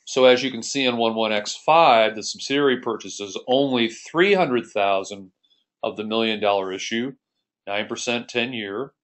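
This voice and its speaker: A man's voice: fairly low pitch, normal speed, a bit bright. An American man giving an explanatory screencast lesson as an accounting instructor, explaining methodically and step by step.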